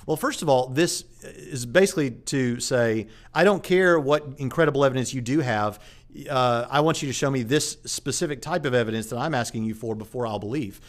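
A man's voice: medium pitch, brisk. A man, a preacher and channel host, talking casually about faith and evidence, with frequent fillers and restarts. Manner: conversational with many fillers and restarts. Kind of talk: casual apologetics talk to camera.

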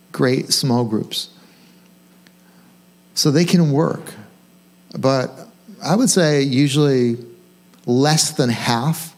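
A male pastor gives an informal, conversational sermon in a mid-pitched voice. He poses questions to himself and his listeners, then leans on key words for emphasis. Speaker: senior pastor. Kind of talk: informal sermon to own congregation.

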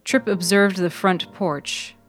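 A woman reading scripted literary narration in a measured, deliberate manner, as narrator of a serial fiction podcast. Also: fairly low pitch, fairly even intonation, music in the background.

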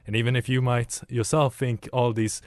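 A man's voice: mid-range voice, flat delivery, moderate pace, no room sound, studio quality. A young man in his twenties co-hosts a student radio discussion and reasons through a point with a wry edge. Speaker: student co-host. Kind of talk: casual discussion.

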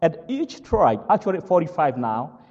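A man from Kenya with a Kenyan accent, whose first language is not English, preaching a sermon as pastor. He speaks slowly and haltingly, fills pauses with "uh" and keeps asking "all right?". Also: a little high, sing-song, a little mellow, breathy edge, in a large hall, dull audio. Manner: slow, halting with many "uh" fillers, checks "all right?".

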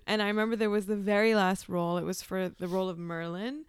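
Woman from Pakistan, very clear voice. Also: medium pitch, steady tone, quick pace, dry recording, clean studio sound. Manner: thoughtful storytelling with frequent "um" and "like". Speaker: actor, interview guest. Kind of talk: casual interview conversation.

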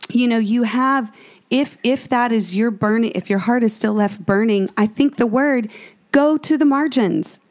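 A woman's voice: a little high, measured pace, darkish tone. A woman co-hosting a faith podcast, speaking earnestly and fervently, slipping into a preachy tone with many restarts. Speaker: podcast co-host.

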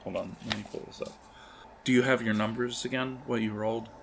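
A man improvising narration as game master of a tabletop horror game, halting as he searches for words. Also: mid-range voice, fairly even intonation, creaky, a little hiss or hum, rough recording.

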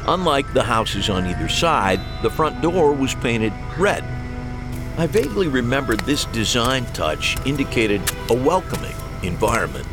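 A man's voice: mid-range voice, sing-song, a little slow, over music. A man reading scripted first-person mystery narration with wry, dry humor.

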